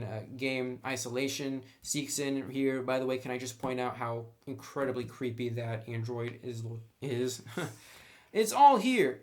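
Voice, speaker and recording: A man reviewing in a casual, conversational and opinionated way, speaking informally like a hobby commentator. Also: medium pitch. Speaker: tabletop game book reviewer.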